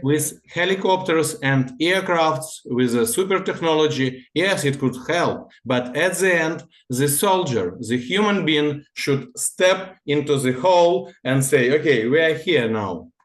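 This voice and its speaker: Male guest, halting delivery, roomy echo. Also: fairly high pitch, lively intonation. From Russia-born, Ukrainian. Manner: halting, searching for words. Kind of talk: casual Q&A answers.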